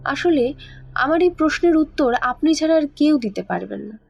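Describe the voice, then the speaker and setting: A woman's voice: high voice, animated, normal speed, vocal fry. A woman reading scripted first-person narration for a dramatized audio horror story. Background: faint background music.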